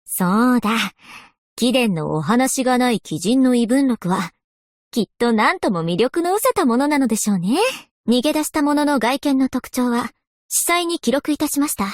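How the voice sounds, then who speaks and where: An actress plays an earnest young woman historian for a game. Her voice is high and lively in melody, recorded dry and close. She speaks with very formal, genteel courtesy. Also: normal speed, dry recording, natural voice. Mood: courteous, gentle. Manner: very formal, courteous, refined phrasing. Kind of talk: scripted game character voice lines.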